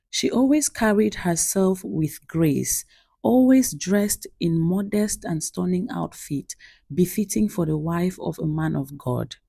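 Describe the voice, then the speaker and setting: A woman narrates a scripted story in the role of storyteller. Her delivery is measured and dramatic, with a noticeably creaky edge to the voice. The sound is dry and close, with very little room in it. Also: lowish voice, mellow, no echo.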